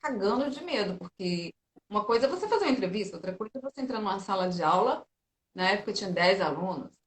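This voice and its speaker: Uma fisioterapeuta e professora brasileira numa conversa ao vivo descontraída, que conta histórias de forma animada. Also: female voice, mid-range voice, fast talker, echoey room. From Brazil. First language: Portuguese. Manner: lively storyteller, animated quoting. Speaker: physiotherapist and teacher. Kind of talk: casual live-stream interview.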